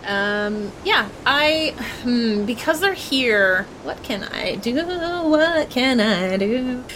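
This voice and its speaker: A woman's voice: expressive, drawn-out words, a bit bright, rough recording. A woman playing a tabletop game, chatting casually and playfully as she narrates what her character does. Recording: faint rumble behind voice.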